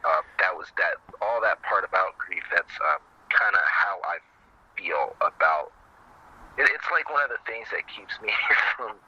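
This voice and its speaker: A man with a deep, very sharp-edged voice talks casually and candidly as an interview guest. The sound is a little lo-fi. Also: animated, a little slow, breathy edge. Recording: dull, lo-fi, faint background noise.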